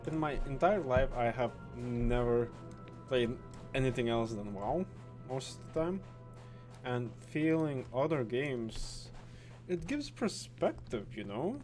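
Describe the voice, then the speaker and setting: A man doing casual gameplay commentary, halting and repeating words, with frequent swearing. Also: medium pitch, lively intonation, a little mellow, soft background music. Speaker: gaming video host.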